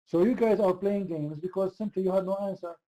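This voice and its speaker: A high-pitched man hosting a heated online debate. His voice is very dark and mellow despite the pitch. He hammers the same question over and over. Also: brisk, poor audio. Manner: insistent, repeats the same question. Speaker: debate host.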